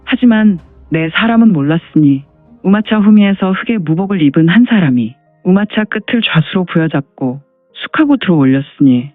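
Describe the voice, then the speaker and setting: A man's voice: high-pitched, lively intonation, normal speed, no room sound, narrow, tinny audio. A man narrating a martial arts audio drama in a scripted storytelling style. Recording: dry, band-limited, music underneath.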